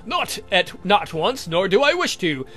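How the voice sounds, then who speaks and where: A man's voice: sits high, big pitch swings, unhurried, sharp tone, soft background music, heavily acted. A man voicing a suave, haughty vampire who lectures in a formal, condescending, theatrical way for a game. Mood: smug.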